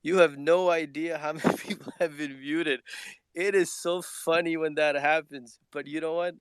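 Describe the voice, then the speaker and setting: Smooth-voiced enthusiastic man. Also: fairly high pitch, steady tone, normal speed, no echo. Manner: enthusiastic shout-outs, says man a lot. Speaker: Twitter Spaces speaker. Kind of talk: casual chat.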